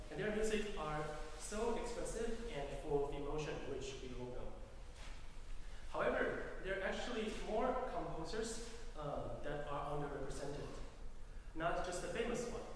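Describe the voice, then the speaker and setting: A young man from Taiwan with a Taiwanese accent gives a formal academic presentation as a music student, speaking haltingly and repeating phrases. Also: fairly high pitch. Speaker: doctoral music student giving a lecture recital. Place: recital hall. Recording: very echoey hall, distant mic, noisy.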